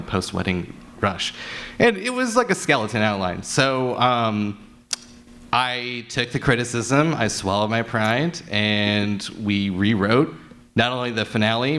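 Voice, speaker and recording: A man's voice: on the low side, a bit bright. A man telling an anecdote as an audio drama writer on a live convention panel. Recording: noisy convention room panel.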